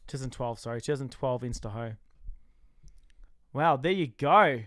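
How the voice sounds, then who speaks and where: An Australian man co-hosting a casual recap chat, loud, jokey and excitable, trash-talking on a close mic. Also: mid-range voice, moderate pace, no room sound. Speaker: podcast co-host.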